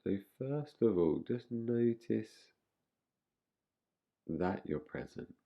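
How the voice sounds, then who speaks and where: Male meditation guide, deep creaky voice, unhurried phrasing with long pauses, slightly dull recording. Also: animated.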